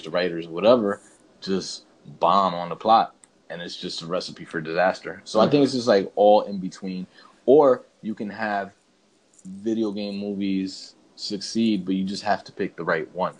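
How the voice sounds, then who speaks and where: A man's voice: low voice, rough recording. A man co-hosting a podcast in casual, slangy chat, feeling his way through sentences, with background noise. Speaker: podcast co-host.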